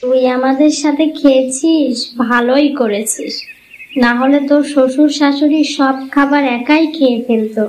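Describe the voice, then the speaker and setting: A woman's voice: sits high, normal speed, a little mellow, natural voice. A woman voicing a bossy, scheming married woman in a cartoon family folktale, with an insistent, wheedling way of talking. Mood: bossy, advising. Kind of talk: cartoon fairy-tale voice acting. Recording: muffled lo-fi audio, clear background noise.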